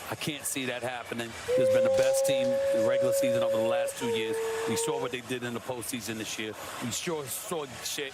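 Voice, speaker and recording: A man's voice: mid-range voice, huge pitch swings, fairly quick, hardly any pauses. A man working as a sports pundit, giving confident predictions in short firm statements.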